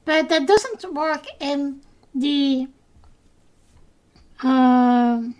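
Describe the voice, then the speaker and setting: A woman with a Dutch accent, a native Dutch speaker, hosting a solo podcast recap, unhurried and hesitant with frequent ums and restarts. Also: high voice, darkish tone. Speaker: podcast host.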